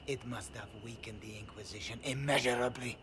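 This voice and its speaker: Brief: actor voicing an arrogant captured noble, defiant and haughty. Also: male voice, medium pitch, fairly even intonation, brisk, fairly bright tone, slight background noise, lightly acted. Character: arrogant captured noble agent, self-important.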